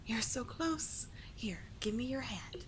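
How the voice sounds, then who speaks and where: A woman voicing a doting, teasing sea witch mermaid in an ASMR roleplay, soft and breathy, often near a whisper, with soothing, formal storybook phrasing. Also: on the high side, lively intonation, slow, noisy background. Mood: patient, coaxing.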